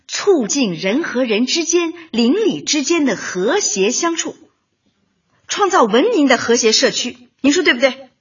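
Eager, very high husky young woman. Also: far from the mic, highs cut off, heavily acted. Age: young adult. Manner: enthusiastic, deferential, earnest. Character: eager, agreeable young woman community worker. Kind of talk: Chinese radio drama acting.

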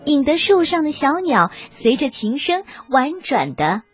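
A woman reads a children's story in a fairly high, very animated voice. Her narration is expressive and scripted, with faint music behind it. The audio is a little dull. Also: measured pace.

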